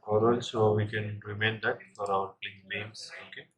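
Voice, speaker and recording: A man giving a step-by-step software tutorial in a halting way, often checking in with the listener. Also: on the low side, flat delivery. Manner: halting, with frequent check-in tags. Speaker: software instructor. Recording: noisy, with keyboard typing and clicks.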